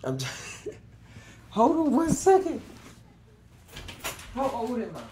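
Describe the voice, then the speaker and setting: A man's voice: very high voice, big pitch swings, takes it slowly, mellow, a little hiss or hum. A man in his twenties hosting a casual solo podcast, rambling with frequent chuckles and restarted sentences. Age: 20s. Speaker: podcast host.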